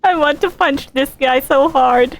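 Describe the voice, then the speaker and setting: A woman game streamer giving casual live commentary, halting and reacting aloud as she goes. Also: high-pitched, slight background noise, rough recording.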